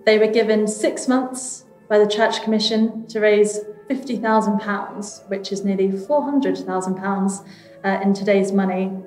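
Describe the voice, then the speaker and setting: A woman's voice: mid-range voice, fairly even intonation, a little slow, darkish tone, faint music behind. British woman guiding in an echoey room. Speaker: theatre arts manager and guide. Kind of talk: audio tour narration.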